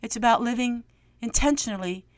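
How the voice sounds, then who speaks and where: An American woman vlogger sharing a personal, reflective monologue with viewers in an earnest, gently preachy manner, often posing rhetorical questions. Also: on the high side, fairly quick.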